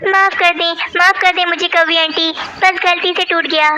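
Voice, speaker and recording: Young bird son for cartoon, woman performing: high, bright, earnest, pleading. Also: level tone, a little slow, slight background noise, heavily acted. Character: young bird child, caring and worried son. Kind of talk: Hindi cartoon voice acting.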